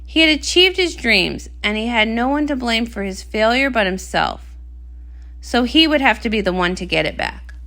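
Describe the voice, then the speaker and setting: A woman narrating a comic read-aloud in an expressive storytelling style. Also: fairly high pitch, normal speed, creaky.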